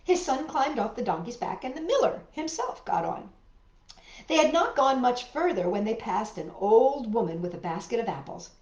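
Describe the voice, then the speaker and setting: A woman's voice: a little high, big pitch swings, normal speed, a little mellow. A woman hosting a children's storytime, telling and explaining things simply and expressively to young listeners. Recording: roomy echo, slightly dull sound.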